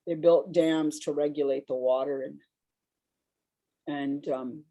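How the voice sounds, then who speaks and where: Woman, big voice, warm, low-pitched, reflective panel storytelling. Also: steady tone, slow pace. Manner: reflective, rambling, laughs while telling stories. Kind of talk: personal storytelling on a discussion panel.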